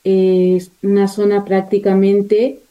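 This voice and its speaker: Hesitant woman with a very mellow, even voice. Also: mid-range voice, slow, lo-fi sound. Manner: hesitant, searching for words. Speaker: missionary nun, interview guest. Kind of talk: spontaneous interview account.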